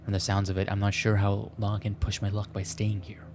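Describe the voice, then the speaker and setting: A man's voice: lowish voice, monotone, brisk, noisy background. A man hosting a gameplay video, reading a visual novel aloud with playful, self-mocking commentary and stumbles over the text. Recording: music playing behind the voice. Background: music.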